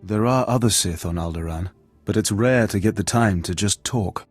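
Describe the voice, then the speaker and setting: A man playing a courteous, flattering Sith bodyguard in a video game, with a formal, smooth-talking manner. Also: fairly low pitch, moderate pace, soft background music. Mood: admiring, flirtatious. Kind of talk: scripted video game dialogue.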